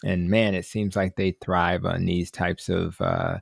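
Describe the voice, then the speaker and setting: A man's voice: low voice, flat delivery, measured pace, gravelly, no room sound, studio quality. A man hosting a podcast, asking thoughtful, hedging questions full of fillers. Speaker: podcast host. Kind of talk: interview questions.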